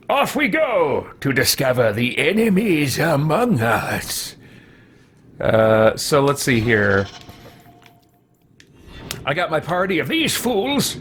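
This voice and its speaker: A man's voice: a little high, a bit bright, slight background noise. A man giving casual livestream gameplay commentary, rambling along, often correcting himself and poking fun at himself. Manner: rambling, self-correcting, self-deprecating. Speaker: game streamer and host.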